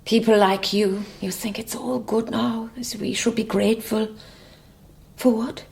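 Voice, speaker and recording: A woman's voice: a little high, fairly even intonation, measured pace, gravelly, a little mellow. An actress playing a proud, bitter middle-aged East German woman with a curt, formal manner, in a radio drama.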